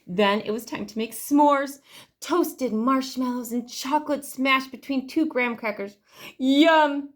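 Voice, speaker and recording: A woman's voice: high voice, measured pace. A woman reading a picture book aloud to children expressively, stretching key words for emphasis. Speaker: library storytime reader.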